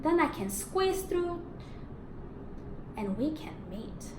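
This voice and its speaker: A woman's voice: sits high, big pitch swings, slow pace, voice pushed up, heavily acted. A woman voicing a friendly, eager young male dog in a storybook, with upbeat exclaiming lines. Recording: roomy echo, some background noise.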